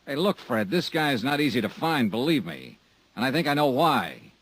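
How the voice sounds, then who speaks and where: A man's voice: mid-range voice, sing-song, normal speed, fairly bright tone, no echo, rough recording. An actor playing a tough, wisecracking insurance investigator in a 1950s radio drama, dry and wry in his talk. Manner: dry, wisecracking first-person narration.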